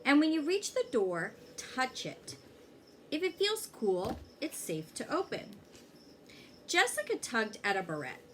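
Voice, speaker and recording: A woman reading a children's story aloud in an expressive way, pausing to check in with young listeners. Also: sits high, slight background noise. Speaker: fire inspector reading a children's book aloud.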